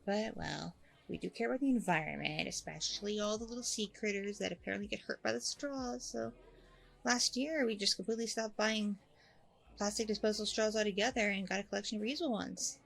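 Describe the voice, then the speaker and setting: A woman playing a chatty, candid, self-deprecating single mom on a blind date in a casual audio roleplay, rambling informally with a creaky voice. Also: mid-range voice, a little fast, poor audio. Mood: friendly, chatty. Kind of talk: ASMR audio roleplay, casual conversational acting.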